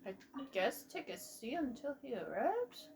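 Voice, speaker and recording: A woman comments casually on her own gameplay. Her voice is high and very expressive. She takes her time with a slow, loose delivery. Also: vocal fry, slight background noise. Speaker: gamer, let's player.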